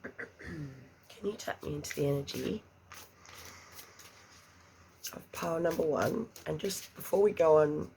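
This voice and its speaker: A woman's voice: low voice, normal speed, a little mellow, slight background noise, poor audio. A woman giving a pick-a-card tarot reading, chatty and a little congested, murmuring questions to spirit under her breath. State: congested after an illness. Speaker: tarot reader.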